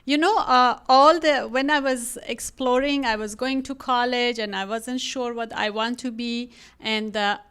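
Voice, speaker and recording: Slow-paced Iranian-accented woman, clear and higher-pitched. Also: fairly even intonation, a bit bright. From Iran. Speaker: podcast guest, real estate agent. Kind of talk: conversational interview.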